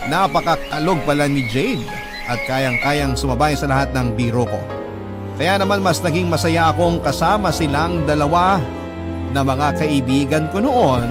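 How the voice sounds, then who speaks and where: A man with a Filipino accent narrating a scripted first-person love story as a radio drama narrator. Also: fairly high pitch. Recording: loud music behind the voice.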